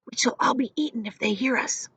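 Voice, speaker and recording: A woman voicing a timid, gloomy male earthworm who speaks in a hush in a storybook reading. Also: sits high, animated, slow, dry recording, voice pushed up, full character voice. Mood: fearful. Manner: hushed and fearful.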